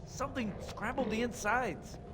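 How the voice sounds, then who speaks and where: A man's voice: very high-pitched, normal speed, loud music behind the voice. A man playing a nervous, chatty young Marine private in a video game, casual and uneasy in manner. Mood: casual, puzzled. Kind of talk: video game cutscene acting. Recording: loud battle and engine noise.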